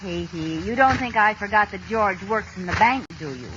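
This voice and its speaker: An actress playing a scheming, wheedling American housewife in a theatrical 1950s radio comedy style. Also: female voice, medium pitch, animated, moderate pace, lightly acted. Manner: exaggerated, theatrical. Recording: muffled, noisy old radio recording.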